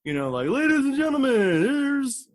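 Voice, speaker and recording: A man hosting a casual comedic podcast, chatting in a joking, animated and profane way. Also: extremely high pitch, normal speed. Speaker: podcast host.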